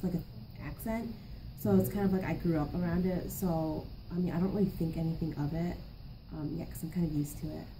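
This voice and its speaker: A woman's voice: sits low, fairly even intonation, brisk, warm tone. A woman in her twenties, easygoing, answering interview questions with fillers. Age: 20s. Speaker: student interviewee. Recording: distant mic with noticeable background noise.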